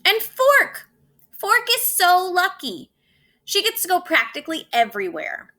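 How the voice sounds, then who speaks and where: A woman's voice: very high-pitched, wildly swooping pitch, unhurried, sharp tone, pitched above the performer's own voice, heavily acted. A woman voicing a glum, envious young spoon boy in a children's storybook, complaining with whiny emphasis. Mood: envious whining. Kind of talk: children's storybook read-aloud.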